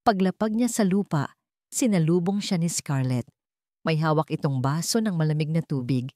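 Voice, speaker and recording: A woman's voice: low voice, moderate pace, dark tone, dry recording, studio quality. A woman with a Filipino accent telling a romantic drama as a scripted storyteller.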